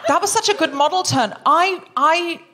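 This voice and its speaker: A woman's voice: extremely high pitch, normal speed, heavy background noise. A woman hosting a live comedy podcast, playful and self-mocking in her banter. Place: live show before an audience.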